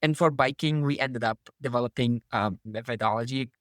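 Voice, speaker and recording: A man's voice: mid-range voice, brisk, no echo, clean studio sound. A young man from Turkey, an MBA student, talking in a casual interview, thoughtful and full of "like" fillers. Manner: thoughtful, uses many "like" fillers.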